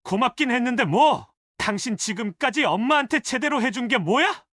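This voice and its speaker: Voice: medium pitch, expressive, a bit bright, dry recording, studio quality. An actor playing an indignant adult son scolding a spouse with pointed, accusatory questions in a dramatized family story. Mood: angry, accusing. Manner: accusatory, rapid rhetorical questioning. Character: indignant adult son scolding his wife over his mother.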